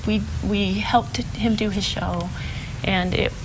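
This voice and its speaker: A woman guest on a TV interview telling a story, warm and a little emotional. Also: medium pitch, steady tone, takes it slowly, noisy background.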